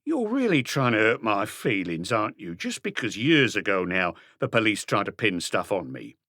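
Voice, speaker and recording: A man's voice: fairly low pitch, huge pitch swings, moderate pace, pushed and bright, no echo, clean studio sound, exaggerated voice. A man playing a shifty, defensive small-time crook. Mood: evasive, indignant.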